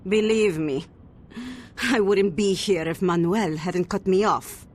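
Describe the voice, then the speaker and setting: A woman's voice: mid-range voice, takes it slowly, a little hiss or hum, lightly acted. An actress playing a haughty, prickly jilted woman in a video game cutscene. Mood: irritated, defensive.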